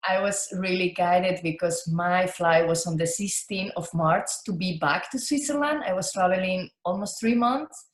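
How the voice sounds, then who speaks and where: A woman from Spain, a guest on an online interview, answering gratefully with soft laughs. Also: fairly low pitch, normal speed. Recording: roomy video call audio.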